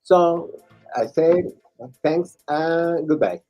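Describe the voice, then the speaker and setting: A man's voice: fairly high pitch, drawn-out words, dark tone, soft background music. A man, a native Spanish speaker, hosting a student radio show in hesitant English that is full of restarts and repeated words. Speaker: radio host.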